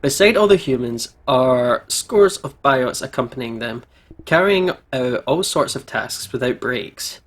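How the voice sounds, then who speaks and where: A man's voice: medium pitch, expressive, unhurried, a bit bright. A man hosting a gaming video, reading story narration aloud casually and stumbling over words now and then. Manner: giggly, stumbles while reading. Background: game music.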